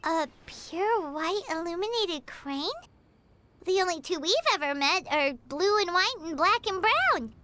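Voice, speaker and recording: A woman's voice: lively intonation, unhurried, background noise. A woman voicing a tiny, eager floating companion sprite in a game, bouncy and chirpy. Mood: curious. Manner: bouncy, eager, high-pitched. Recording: game audio over music.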